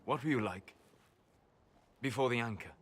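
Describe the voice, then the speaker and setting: A man voicing a soft-spoken scholarly elf mage. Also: medium pitch, normal speed, a little hiss or hum, lightly acted. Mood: calm, thoughtful. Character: wise aloof male elf apostate mage. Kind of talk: video game cutscene dialogue. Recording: game audio with long reverb, faint ambience.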